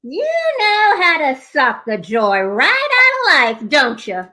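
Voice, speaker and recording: Woman acting a very shrill, cranky woman. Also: very animated, slow, sharp tone, heavily acted. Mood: exasperated. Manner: snappish, exasperated. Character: cranky, sarcastic woman, hard of hearing. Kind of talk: comic staged play reading.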